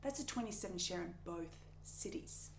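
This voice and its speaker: A woman's voice: on the low side, lively intonation, moderate pace, vocal fry, slight background noise. A woman performing a modern drama monologue as an ambitious television executive, eager, insistent and urgent. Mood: eager, scheming. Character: ambitious, excitable female television executive pitching hard. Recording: roomy, echoing untreated room.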